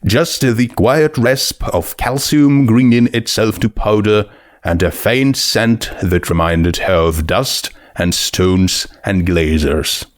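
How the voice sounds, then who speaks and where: A man's voice: fairly low pitch, expressive, slow, no room sound, clean studio sound. A man reading a scripted story aloud as narrator. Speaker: narrator of a fan fiction reading.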